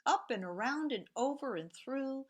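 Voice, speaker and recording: A woman reading picture books aloud to young children in an expressive, sing-song way, sometimes hushed, pausing to point things out to her listeners. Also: high voice. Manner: animated and sing-song, drops to hushed, whispery repeated sound words.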